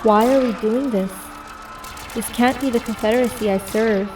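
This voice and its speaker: A woman's voice: fairly high pitch, moderate pace, recorded from a distance, over music, lightly acted. An actress playing a disillusioned young soldier narrating her thoughts in an audio drama. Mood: shocked, anguished. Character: disillusioned young woman soldier on covert mission. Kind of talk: first-person audio drama inner narration.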